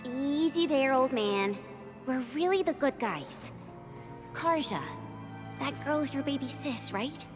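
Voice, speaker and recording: Cheeky friendly game girl, young woman, high voice, casual, music behind. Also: lively intonation, slow pace. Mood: breezy, reassuring. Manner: informal, direct. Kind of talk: video game voice acting.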